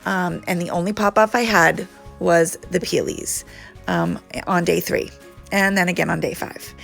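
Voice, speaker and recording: A woman hosting a casual nail tutorial, sounding a little congested from allergies, chatty and relaxed with frequent ums. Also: fairly low pitch, a little slow, creaky, faint music behind. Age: adult. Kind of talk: casual how-to chat.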